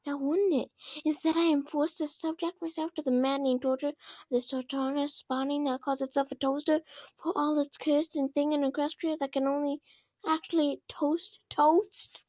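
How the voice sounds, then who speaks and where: A young pony princess in a towering rage, played by a woman reading a story aloud. The voice climbs very high as she rants with melodramatic exasperation. It sits dry, with little room around it. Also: level tone, moderate pace, mellow, no echo, close to an everyday voice. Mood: bitter sarcasm. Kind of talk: amateur fan fiction character reading.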